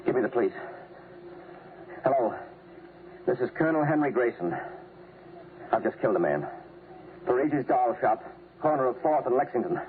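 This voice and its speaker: A man's voice: medium pitch, animated, moderate pace, long pauses, lightly acted. A middle-aged man playing a stern, short-tempered army colonel in a vintage radio drama, brusque and commanding. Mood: grim. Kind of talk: 1950s radio drama acting. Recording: tinny old-time radio recording, faint noise.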